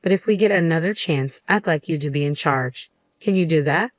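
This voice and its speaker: A cool young woman, read by a woman speaks in a level, matter-of-fact way, never raising her voice. The tone is low, and the recording is dry and close. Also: fairly quick, dry recording, natural voice. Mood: calm.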